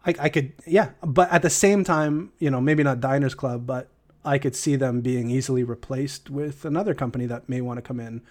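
A man's voice: medium pitch, a little fast. A man co-hosting a podcast in casual chat, talking in a rambling, hesitant way with joking asides. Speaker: podcast co-host.

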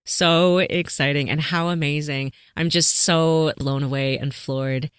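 A woman's voice: low voice, a little slow, clear, resonant tone, dry recording, studio quality. A woman hosting a podcast interview, effusive and encouraging as she wraps up and signs off. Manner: warm, effusive hosting, "so exciting". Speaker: podcast host.

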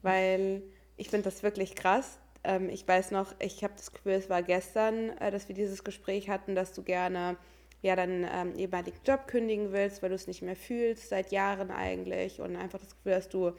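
A woman's voice: mid-range voice, level tone, moderate pace, gravelly, a little mellow. A woman hosting a podcast in casual chat, speaking informally and enthusiastically with English slang mixed in. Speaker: podcast host.

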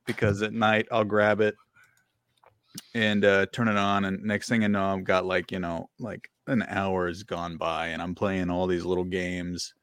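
Casting: middle-aged male podcast co-host, lowish voice, dry close sound, rambling and chuckly. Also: level tone, moderate pace, a bit bright, clear, resonant tone, dry recording. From Virginia. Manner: rambling, filler-heavy, laughs often. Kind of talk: casual chat.

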